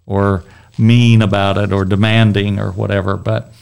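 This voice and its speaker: Low-voiced man with a strong, clear voice. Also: level tone, moderate pace, a little mellow. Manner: rambling, with many restarts. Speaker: Bible study leader. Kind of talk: casual Bible discussion.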